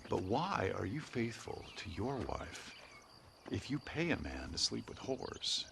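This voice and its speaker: Mysterious western game stranger, very low voice, measured, knowing, cryptic, faint noise behind. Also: male voice, very animated, vocal fry, a bit bright, full character voice. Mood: cryptic, goading. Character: mysterious calm male stranger who knowingly judges people. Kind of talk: western video game cutscene acting.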